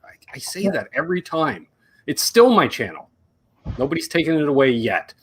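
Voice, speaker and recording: Man, Canadian accent, middle-aged, live-stream host, rambling jokey chat, hoarse and fading voice, fairly high, very animated pitch. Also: normal speed. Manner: rambling, joking, reads viewer names aloud. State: losing his voice, coughing.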